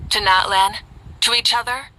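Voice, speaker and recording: A woman voicing a composed, noble warrior leader in a video game, with measured, resolute delivery. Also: on the high side, fairly even intonation, sharp tone, low-quality recording. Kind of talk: dramatic video game voice acting. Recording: game dialogue over music.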